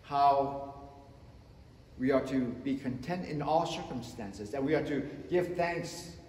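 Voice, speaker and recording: Slow emphatic male pastor in echoey church. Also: mid-range voice, slight background noise. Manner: slow, emphatic preaching with wide swings in loudness. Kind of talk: sermon. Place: church sanctuary in front of the altar. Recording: echoey church with long reverb.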